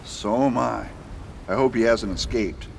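A man's voice: medium pitch, unhurried, vocal fry, a little hiss or hum. A man playing a determined US marshal in a radio drama, speaking earnestly and insistently. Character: determined male US marshal, stubborn and dutiful. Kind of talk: amateur radio drama acting.

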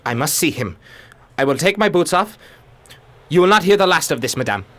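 A man's voice: fairly high pitch, lively intonation, fairly quick, fairly bright tone. A man playing a formal, officious secretary to a governor in a radio drama, easily indignant.

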